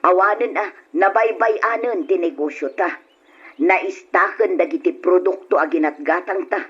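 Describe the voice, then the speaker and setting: A woman acting in an old radio drama as a nagging, exasperated wife with a husky voice. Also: fairly low pitch, normal speed, highs cut off. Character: fed-up, scolding wife of a shiftless husband.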